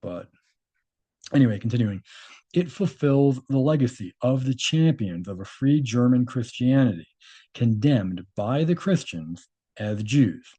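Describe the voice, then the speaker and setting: A man's voice: mid-range voice, expressive, a little slow, dark tone, husky. A man reading a document aloud and adding informal, opinionated commentary of his own.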